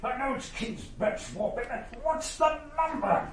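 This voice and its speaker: An actor playing an irascible, pompous British lord in a radio drama, blustering with contempt. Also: male voice, extremely high pitch, lively intonation, a little slow, slight background noise. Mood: fussy, haughty. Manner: blustering, pompous, clipped upper-class exclamations.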